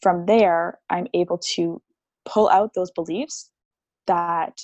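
Young woman guest rambling on a podcast, low-ish voice, dry close mic, lots of um and like. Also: fairly even intonation, slow, darkish tone, no echo, muffled recording. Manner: chatty, explains with lots of "like" and "um". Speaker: podcast guest, theta healing practitioner. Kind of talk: casual podcast interview.

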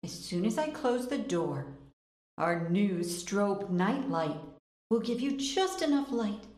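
A woman's voice: medium pitch, very animated, darkish tone. A woman playing a soothing, doting mother in a spoof commercial for a children's show. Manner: soothing, unhurried. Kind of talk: children's parody advert acting. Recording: roomy echo with faint music.

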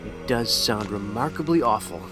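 An actor playing a calm, dry-witted male investigator in a science fiction audio drama, musing in an understated, deadpan way. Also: lowish voice, moderate pace, music in the background, close to an everyday voice. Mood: dry, puzzled.

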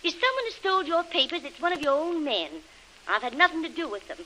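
A defiant Southern belle in a vintage radio adventure. Her Southern-accented voice is high, animated and bright, full of sugary indignation. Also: quick pace, exaggerated voice, rough recording. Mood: indignant denial. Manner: indignant, affected sweetness. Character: defiant, coquettish Southern belle held captive. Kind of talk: old-time radio adventure serial acting. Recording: hissy vintage radio recording.

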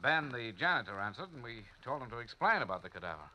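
A man's voice: mid-range voice, very animated, fast talker, pushed and bright, a little hiss or hum, rough recording. A man playing a sheepish young friend explaining an old prank, in a 1940s radio drama. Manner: folksy, explanatory.

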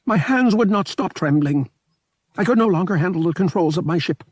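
A small, frail alien pilot speaks in a very high, mournful voice. The phrasing is clipped and broken, dropping little words, as a storybook character would in an audiobook. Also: male voice, expressive, a little fast, slightly rough, no echo, clean studio sound. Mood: mournful, weary.

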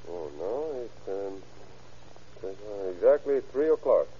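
An actor playing an evasive Mexican husband and father in halting, accented English, in old-time radio drama style. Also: fairly low pitch, expressive, mellow, slight background noise. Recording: muffled vintage radio recording.

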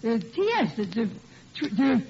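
An actor playing a bumbling, blustering American husband who gets flustered and stammers, in 1940s radio sitcom style. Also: male voice, very high-pitched, sing-song, moderate pace, gravelly, full character voice. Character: bumbling, blustering, sheepish working-class husband. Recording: dull, hissy vintage radio recording.

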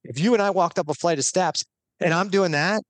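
A man's voice: sits high, fairly quick, no echo. A man talking as a guest expert on a podcast, explaining earnestly step by step with a few restarts.

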